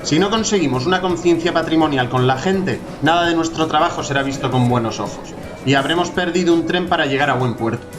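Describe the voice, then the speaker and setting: Idealistic student played by a man, higher-pitched, earnest speechifying, radio soap over music. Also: a little fast, close to an everyday voice. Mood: earnest concern. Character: earnest, idealistic male archaeology pupil. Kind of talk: scripted radio soap acting. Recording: music behind the voice.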